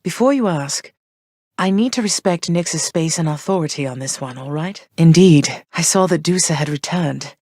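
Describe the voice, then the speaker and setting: A woman voicing a gentle, thoughtful goddess queen and mother for a video game, speaking in a soft, measured, slightly formal way. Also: sits low, no echo, clean studio sound. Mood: tender. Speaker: voice actress for a video game character. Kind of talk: recorded game voice lines.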